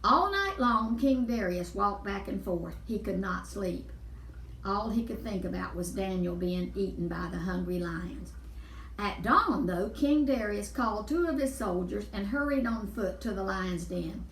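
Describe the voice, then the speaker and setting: A woman's voice: medium pitch, lively intonation, measured pace, a bit bright, a little hiss or hum. A woman storyteller reading a Bible story aloud for children, explaining and repeating words for emphasis. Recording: echoey room, distant mic.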